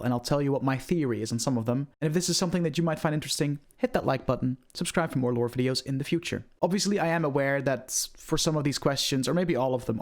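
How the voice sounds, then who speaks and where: A man hosting an online video, giving scripted commentary on video game lore in a presenter's style. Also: medium pitch.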